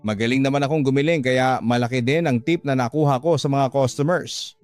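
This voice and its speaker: A man's voice: mid-range voice, a little fast, a little mellow, faint music behind, natural voice. A man playing a frank, earnest young working-class older brother who admonishes and confides in a radio drama. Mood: light, boastful.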